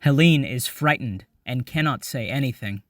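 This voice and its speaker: A man's voice: mid-range voice, expressive, moderate pace, a bit bright, no echo, studio quality, recorded from a distance. A man reading scripted stage directions as the narrator of an audio drama.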